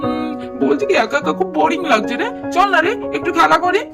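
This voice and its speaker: A woman's voice: sits high, lively intonation, a little fast, creaky, recorded from a distance. A woman voicing an easygoing older-brother doll boy in a children's cartoon, casual and repeating phrases. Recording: music behind the voice. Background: music.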